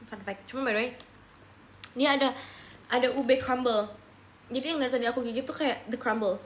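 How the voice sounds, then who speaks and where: Young female livestreamer from Papua, relaxed chat, slightly high voice, faint room noise behind. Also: brisk. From Papua, Indonesia. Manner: mixes English phrases into her talk; relaxed, chatty, answers questions as she reads them. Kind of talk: casual live chat reading viewer comments.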